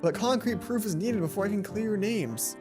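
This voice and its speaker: A woman's voice: medium pitch, a little fast, over music, lightly acted. Woman reading as an earnest, formal schoolboy. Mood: serious, resolute. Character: earnest, kind-hearted schoolboy, stiff and formal. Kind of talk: visual novel character reading.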